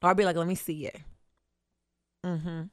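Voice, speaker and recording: A woman in her forties co-hosting a casual chat, brash and teasing, laughing loudly. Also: on the low side, little pitch movement, moderate pace. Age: 40s. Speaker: guest co-host.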